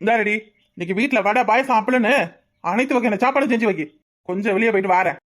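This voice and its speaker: A man's voice: sits high, fast, a bit bright. A man playing a hardworking, resolute village husband in a family drama, firm and declamatory. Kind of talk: Tamil family drama dialogue.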